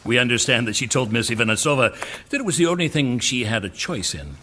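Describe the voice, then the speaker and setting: A man acting in an audio drama as a businesslike, assured chairman of a secretive project, speaking in a formal, measured way. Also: mid-range voice, lively intonation, bright, forceful tone, slight background noise. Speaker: meeting chairman.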